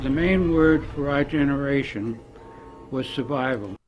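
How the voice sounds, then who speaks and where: A muffled, lo-fi documentary clip of a man recollecting in a mid-pitched voice. Plucked guitar music plays clearly behind him. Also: measured pace. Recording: dull lo-fi film clip playback. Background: loud plucked guitar music.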